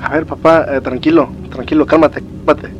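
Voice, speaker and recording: Un hombre joven que interpreta a un hijo angustiado que intenta calmar a su padre en un drama de terror. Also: male voice, a little high, fairly quick, muffled recording, close to an everyday voice. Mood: worried, soothing. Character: anxious grown son pleading to calm his panicking father. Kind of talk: horror story dramatization. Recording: music behind the voice.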